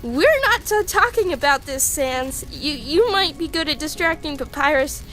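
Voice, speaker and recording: Woman as a stammering, high-pitched nervous female monster scientist. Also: expressive, a bit bright, soft background music. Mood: nervous, hesitant. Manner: stammering, halting, hesitant. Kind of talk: comic dub voice acting.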